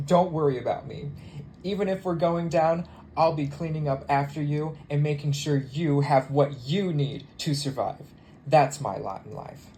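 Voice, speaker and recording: A man's voice: on the high side, a little mellow, a little hiss or hum. Bitter, sarcastic jilted flight attendant, acted by a man. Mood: sardonic, martyred. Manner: sarcastic, wounded, emphatic. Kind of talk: theatrical solo monologue as cabin announcement.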